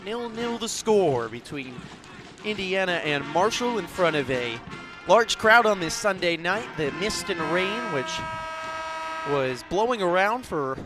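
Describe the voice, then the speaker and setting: A man doing live radio play-by-play, moving between measured setup and urgent calls. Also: sits high. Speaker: play-by-play sports announcer. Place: outdoor stadium broadcast position. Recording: background noise of an outdoor stadium.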